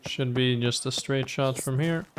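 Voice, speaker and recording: A man's voice: mid-range voice, steady tone, a little slow, a little hiss or hum. A man giving casual gameplay commentary as a streamer. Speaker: game streamer. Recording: loud game music behind.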